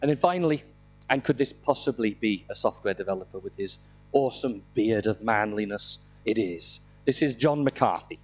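A middle-aged man with a slightly croaky voice from a throat infection, giving an informal, self-deprecating technical talk with dry humour into a handheld microphone. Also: medium pitch. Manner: self-deprecating, dryly humorous. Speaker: conference speaker. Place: indoor conference room, speaking on stage.

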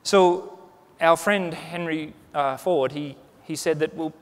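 A man's voice: on the high side, takes it slowly. A man in his forties giving a conversational conference keynote on a large stage, with lots of restarts and "you know.". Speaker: keynote speaker. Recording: reverberant conference hall.

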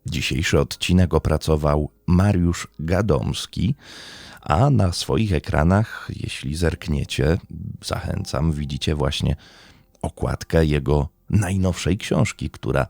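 Deep, dark-voiced male narrator. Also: takes it slowly, vocal fry, no room sound. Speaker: podcast host and narrator. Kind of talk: scripted true-crime narration.

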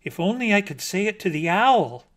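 A man's voice: high-pitched, big pitch swings, normal speed, a bit bright, voice pushed up. A man voicing a small male bat poet in a storybook, earnest, naive and hesitant, easily wounded. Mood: hopeful.

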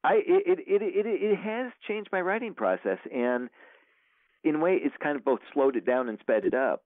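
A man's voice: a little high, moderate pace, no echo. A man who is an author, chatting casually in an interview, rambling with many fillers and restarts. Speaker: author guest. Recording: dry, tinny phone line.

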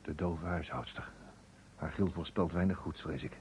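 An actor playing a grave, calm amateur sleuth in a radio play. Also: male voice, very deep voice, moderate pace. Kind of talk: Dutch radio drama acting. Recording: noisy old radio recording.

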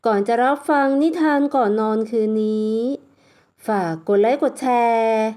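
A woman's voice: on the high side, unhurried, dark tone. A woman reading scripted bedtime story narration as a channel's storyteller.

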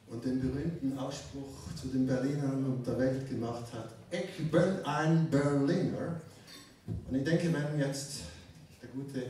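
A man's voice: on the high side, slight background noise. Man chatting with a concert crowd. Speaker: singer-songwriter. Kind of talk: stage banter at a live concert. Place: dark club stage. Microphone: stand mic, filmed from far. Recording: echoey hall from far off, loud guitar. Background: loud acoustic guitar.

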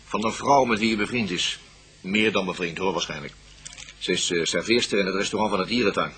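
A man's voice: low voice, brisk, fairly bright tone, slight background noise. A man playing a blunt, wry police colleague who talks colloquially in a radio play.

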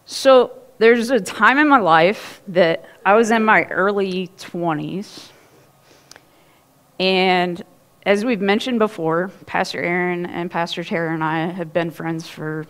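A woman's voice: lowish voice, slow pace. Woman, pastor, sermon, chatty storytelling, church stage, headset mic. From Ohio. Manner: chatty, animated storytelling with laughs and asides.

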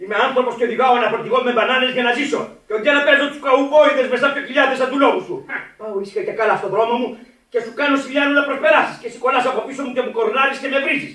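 An actor playing an indignant, hot-headed truck driver in Greek radio theatre, aggressive and street-tough. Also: male voice, very high-pitched, pushed and bright. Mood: angry indignation. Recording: echoey, distant from the microphone.

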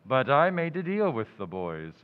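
A man's voice: mid-range voice, sing-song, normal speed, clear, resonant tone, natural voice. A man doing a pompous, punning undertaker. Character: pompous middle-aged male undertaker Digger, smug. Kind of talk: 1940s radio comedy acting. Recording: tinny, reverberant lo-fi audio.

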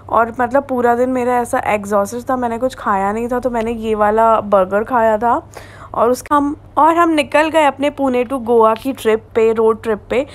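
Chatty young woman vlogging to her phone. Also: a little high. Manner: chatty, tired, mixes in English words. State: exhausted and sleepy. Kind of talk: casual travel vlog narration. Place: roadside by a car, outdoors. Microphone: phone selfie at arm's length.

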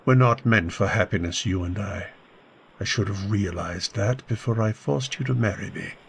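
A man playing a tormented, bitter, guilt-ridden husband with a husky voice, in old-time radio drama style. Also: on the low side, normal speed, a bit bright, slight background noise. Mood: resigned, anguished. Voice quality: husky, gravelly.

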